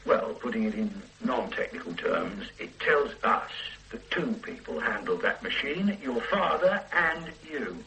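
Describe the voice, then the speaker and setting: An actor playing a hard-pressing police detective who questions a suspect with needling sarcasm in a radio play. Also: male voice, medium pitch, very animated, a little slow, gravelly, pushed and bright, slight background noise, exaggerated voice, low-quality recording. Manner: sardonic, needling interrogation. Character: hard-pressing male detective, sardonic, relentless. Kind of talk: 1970s radio drama acting.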